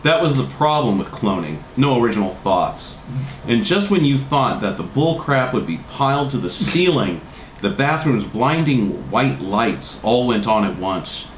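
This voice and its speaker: A man reads a comic story aloud with dramatic, deadpan timing and very lively intonation. His medium-pitched voice sounds in a roomy, echoing space with faint noise. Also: unhurried. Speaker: reader. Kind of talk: live comic story reading.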